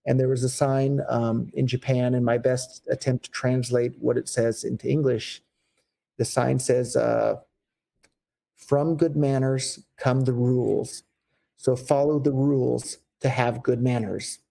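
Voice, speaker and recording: An American man speaks as an interview guest with a warm, darker tone and a gravelly edge. He talks candidly and loosely, full of fillers and restarts, and sits at a middle pitch. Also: fairly even intonation, unhurried. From United States. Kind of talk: casual interview talk.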